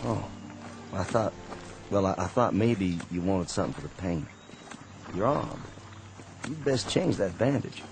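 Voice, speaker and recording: A man playing a tired, kindly Confederate sergeant, speaking gently and plainly in a radio drama. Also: low voice, lively intonation, unhurried, pauses often, noisy background. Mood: weary, kindly. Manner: gentle, fatherly, plain-spoken. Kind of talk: 1960s-style radio drama acting.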